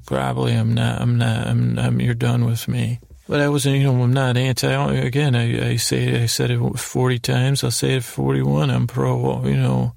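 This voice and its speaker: Very creaky man rambling to lull. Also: mid-range voice, darkish tone, dry recording, clean studio sound. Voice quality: creaky, gravelly. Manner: meandering, halting, repetitive, silly asides. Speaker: podcast host. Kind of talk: rambling bedtime monologue meant to lull listeners to sleep.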